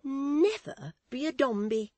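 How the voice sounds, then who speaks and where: A high-pitched, swooping society matron from a Victorian novel. She is pompous and fretful, declaiming each point emphatically and lamenting with great self-pity. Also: big pitch swings, takes it slowly, dark tone, dry recording, heavily acted. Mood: fretful disdain. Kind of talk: dramatized Victorian novel reading.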